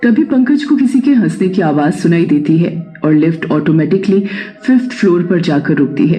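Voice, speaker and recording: A man's voice: high-pitched, dark tone, poor audio. A man reading a scripted horror story as its storyteller.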